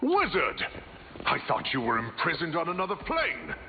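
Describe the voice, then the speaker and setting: An actor playing a brash superhero comrade trading banter in a video game. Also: male voice, on the high side, huge pitch swings, moderate pace, creaky, narrow, tinny audio, a little hiss or hum, full character voice. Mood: surprised, boisterous.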